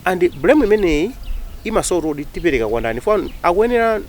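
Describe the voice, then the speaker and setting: A man's voice: high-pitched, very animated, quick pace, a little hiss or hum. A man with a Malawian accent interviewing someone in a conversational style, laying out questions.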